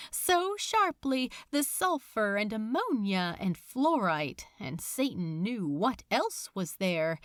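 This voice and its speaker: A woman reading fiction aloud as a dramatic, expressive narrator, deliberate and suspenseful. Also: medium pitch, dry recording, clean studio sound.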